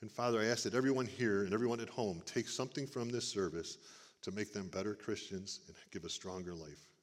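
A middle-aged man with a big booming voice, speaking to a church congregation in a folksy preaching manner. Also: brisk. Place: church sanctuary. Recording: long reverb of a church hall. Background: church hall.

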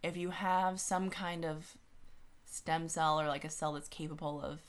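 Female co-host, low register. Inquisitive, informal, works through ideas aloud. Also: steady tone, unhurried. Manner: inquisitive, reasoning aloud, checks for agreement. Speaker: podcast co-host. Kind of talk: casual science-minded discussion.

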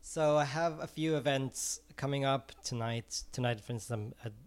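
A man's voice: mid-range voice, normal speed, clean tone. A middle-aged man from Israel, an ice cream vendor and former music teacher, talking earnestly in an interview and often repeating words as he searches for phrasing. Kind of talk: casual interview talk.

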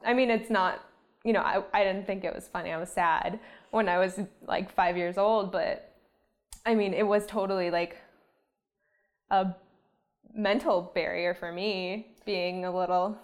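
A woman podcast host in easy conversation with her guest. She tells a story about herself in a reflective, gently self-deprecating way. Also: medium pitch, fairly even intonation, measured pace.

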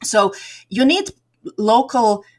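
A woman's voice: on the high side, very animated, takes it slowly, a little mellow. Woman, middle-aged, from Serbia, chatty, lots of fillers and restarts, casual interview talk. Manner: chatty, many fillers and restarts, laughs often. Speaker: game developer community organizer.

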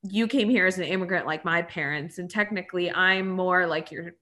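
A woman's voice: fairly low pitch, brisk. A woman interviewing in a casual, chatty way, telling stories with lots of fillers. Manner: chatty, animated storytelling with fillers.

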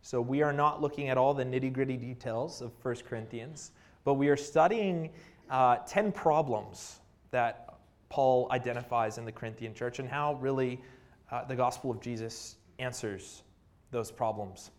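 A man with a Canadian accent preaching a sermon, reading scripture and praying in an earnest manner with frequent pauses and fillers. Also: mid-range voice, a little mellow.

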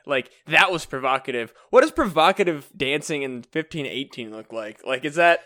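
A young man with a slightly raised pitch rambles through a casual comedy podcast. He swears freely and keeps breaking into laughter as he goes off on tangents. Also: animated, moderate pace, bright, forceful tone. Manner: rambling, profane, laughs often. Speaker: podcast co-host.

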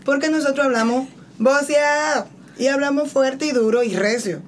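A woman's voice: high voice, normal speed, slight background noise. A woman with a Dominican accent, chatting casually and emphatically as an interview guest. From Dominican Republic. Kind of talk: casual conversation.

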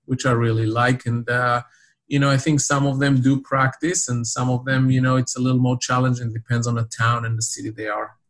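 A man's voice: medium pitch, little pitch movement, brisk. A middle-aged man from Israel, a college volleyball coach, talking reflectively in an interview with many fillers and restarts.

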